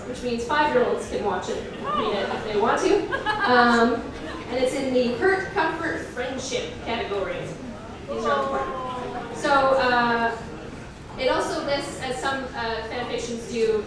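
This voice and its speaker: A woman with a somewhat high voice reads aloud at a live comedy show. She is conversational and wry, mocking the material as she goes. The sound is very echoey and distant, in a room with an audience. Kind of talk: comedic reading of fan fiction with wry asides to an audience. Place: live stage reading before an audience.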